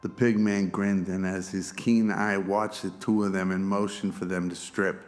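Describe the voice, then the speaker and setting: Man, low voice, level intonation, scripted radio drama narration, soft music bed. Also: flat delivery, normal speed.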